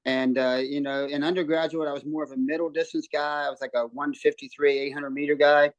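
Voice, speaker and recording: A man in his seventies from West Virginia tells his story in a relaxed, rambling way, with plenty of ums and restarts. His fairly high voice sounds close and dry, with no room around it. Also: fairly quick, no room sound, rough recording. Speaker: guest, former runner and coach. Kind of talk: casual interview chat. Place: hotel room.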